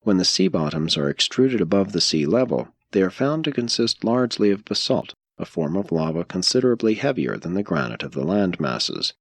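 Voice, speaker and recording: A man reading scripted audiobook narration in a measured, solemn and formal manner. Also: deep voice, very animated, dry recording.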